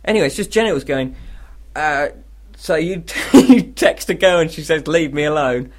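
A man's voice: on the high side, normal speed. A British man co-hosting a radio comedy show, chatting casually in a rambling, irreverent, sardonic way. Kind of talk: casual comic chat.

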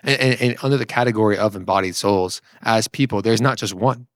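A man's voice: on the low side, fairly quick, no echo, clean studio sound. A man giving conversational Bible teaching, explaining step by step and often checking in with a "right?". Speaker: discussion co-presenter teaching theology.

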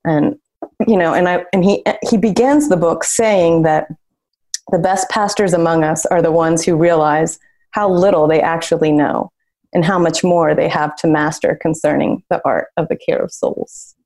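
A woman's voice: deep voice, steady tone, moderate pace, a little mellow. A woman co-hosting a podcast, chatting casually about a book and often laughing as she teases her co-hosts. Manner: laughs often, friendly teasing. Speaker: podcast co-host.

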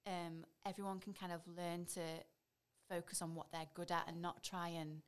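A woman in her thirties with a deep, husky voice, chatting as a voiceover artist on a podcast, bubbly and animated. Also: normal speed. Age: 30s. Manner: bubbly and animated, laughs often. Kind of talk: casual podcast interview.

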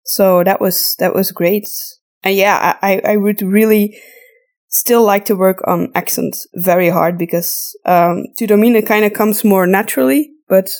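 A woman's voice: mid-range voice, steady tone, a little slow, a little mellow. A woman podcast co-host, a native Flemish Dutch speaker with an accent between British and American, chatting casually and thinking aloud as she repeats words. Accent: mixed European, between British and American. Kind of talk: casual conversational podcast talk.

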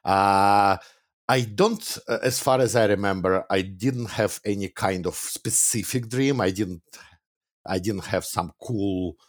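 A man's voice: fairly low pitch, unhurried, no room sound, studio quality. A man in his forties with a Russian accent, chatting modestly as a podcast guest with some hesitation and easy laughs. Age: 40s. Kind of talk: casual podcast interview.

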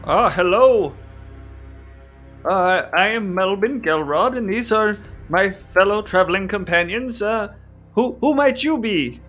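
A man's voice: high voice, animated, measured pace, fairly bright tone, soft background music, full character voice. A man playing a courteous, hesitant adventurer in a tabletop roleplay. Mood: nervous, polite. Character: polite, timid male fantasy adventurer.

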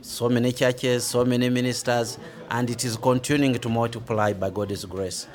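A man's voice: medium pitch, fairly even intonation, moderate pace. A man from Uganda with a Ugandan accent, a pastor answering interview questions in earnest, plain words. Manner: plain, earnest, repeats "we are blessed".

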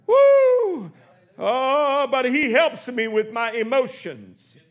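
A man in his sixties preaching a sermon in a church, swinging from hushed, quick asides to shouted exclamations. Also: very high voice, very animated. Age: 60s. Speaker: pastor. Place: church sanctuary.

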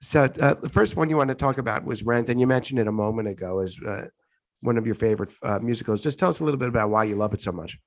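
A male host, hoarse from congestion, asking questions in an easygoing interview chat. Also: medium pitch, quick pace.

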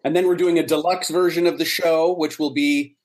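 A man's voice: on the high side, fairly even intonation, fairly quick, darkish tone. A man talking as a guest in a casual interview, explaining details.

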